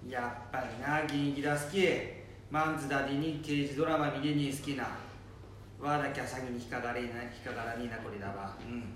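A man's voice: medium pitch, quick pace, fairly bright tone, close to an everyday voice. A man playing a cocky, grumbling elderly grandfather with a Nanbu accent in an amateur radio drama. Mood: self-assured. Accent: Nanbu dialect, Japan. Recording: very echoey room, faint noise.

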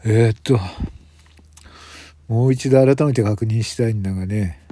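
A man playing a grave, weary police detective in a radio drama, speaking in a measured, hesitant way with sighs. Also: fairly low pitch, warm tone, no echo, natural voice. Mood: probing.

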